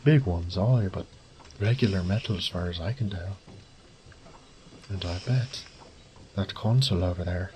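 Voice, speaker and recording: A man playing a wry, resourceful thief in an audio drama, muttering gruffly and cursing to himself. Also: sits low, normal speed, pauses often, a little mellow, slight background noise, close to an everyday voice, poor audio. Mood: frustrated, scheming. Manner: gruff, sardonic, swearing under his breath. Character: wry, foul-mouthed adult male thief, resourceful under pressure.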